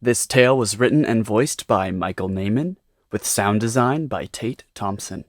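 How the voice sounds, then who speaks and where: A man with a fairly low voice serves as announcer. He reads scripted credits. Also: moderate pace.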